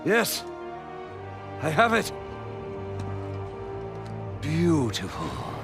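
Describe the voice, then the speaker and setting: A smug goblin commander from a video game, drawing words out very slowly. The pitch swings dramatically and the delivery is theatrical and menacing. Music plays behind him. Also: male voice, wildly swooping pitch, heavily acted. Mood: gloating. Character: smug sinister goblin commander, adult male. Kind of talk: video game cutscene acting.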